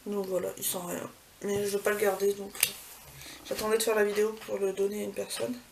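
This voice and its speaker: A woman's voice: medium pitch, little pitch movement, brisk. A woman vlogging a casual beauty haul, chatty, with many fillers and self-corrections. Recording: far from the mic, clear background noise.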